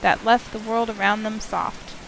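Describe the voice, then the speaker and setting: A woman's voice: medium pitch, fairly even intonation, measured pace, vocal fry, background noise. A woman narrating a visual novel as a scripted story, reading descriptive prose aloud. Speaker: narrator, game player. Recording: loud music and rain behind. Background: game music and rainfall.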